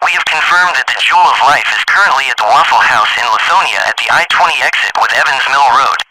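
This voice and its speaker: A male emergency dispatcher acted by a man gives businesslike reports in a scripted amateur drama. His voice sits at a medium pitch and keeps to the task of reporting. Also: expressive, quick pace, sharp tone, dry recording, low-quality recording.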